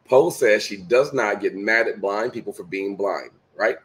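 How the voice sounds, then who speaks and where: A man's voice: lowish voice, normal speed, noisy background. A middle-aged man guesting on a video call, talking in an emphatic, preachy motivational way and repeating his key phrases. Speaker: guest speaker. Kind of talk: motivational conversation. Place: home room, video call.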